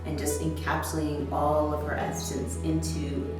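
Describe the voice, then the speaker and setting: Low-voiced american actress chatting away, laughs easy, super echoey far-off mic, music under it. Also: female voice, moderate pace. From United States. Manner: animated, chatty, laughs easily. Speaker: stage actor. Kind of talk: behind-the-scenes interview. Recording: very echoey room, distant mic, music behind.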